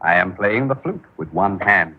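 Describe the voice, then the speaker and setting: An actor playing a solemn, obliging camel caretaker in a 1940s radio comedy. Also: male voice, deep voice, animated, normal speed, slightly rough, phone-quality sound. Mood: solemn, servile. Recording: thin, very noisy 1940s radio recording.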